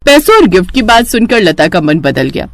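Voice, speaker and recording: Female story narrator, expressive and animated, middle-register voice. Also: medium pitch, brisk.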